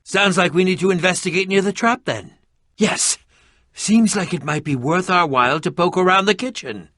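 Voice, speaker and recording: An actor voicing an eccentric, prickly and curious middle-aged adventurer in a video game, with a pompous, theatrical manner and formal wording. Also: male voice, sits high, normal speed, bright, forceful tone, no room sound, clean studio sound. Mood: curious, wry.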